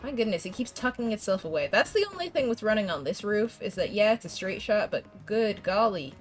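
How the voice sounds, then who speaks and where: A woman's voice: medium pitch, animated, a little fast. Brief: young woman game streamer, stuffy congested voice, rambling casual chat, faint game music behind. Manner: chatty, rambling, frequent laughs and restarts. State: congested, fighting a cold. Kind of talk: casual gameplay chat with viewers.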